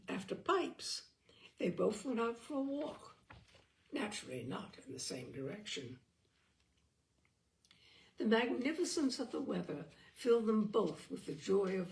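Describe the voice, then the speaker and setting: A woman reading a literary short story aloud as an expressive, theatrical storyteller. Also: a little high, vocal fry. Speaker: storyteller, audiobook reader.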